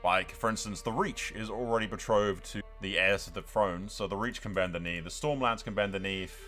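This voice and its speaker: Male gaming host, lowish bright voice, blokey and foul-mouthed, chatty playthrough with music behind him. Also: fairly quick. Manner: sweary, blokey, addressing viewers as lads. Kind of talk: casual game playthrough commentary.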